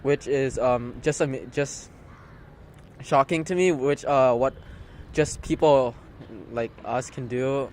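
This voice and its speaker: A man's voice: mid-range voice, takes it slowly, a little mellow. A young man from Thailand answering casually as a student in an outdoor interview, searching for words. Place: outdoors in a city. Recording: faint outdoor street noise.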